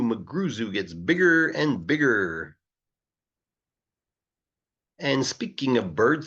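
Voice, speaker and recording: Man reading rhymes, lively husky voice. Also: mid-range voice, lo-fi sound. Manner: playful, emphatic delivery of verse. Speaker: English teacher reading aloud. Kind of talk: expressive read-aloud of a rhyming children's book.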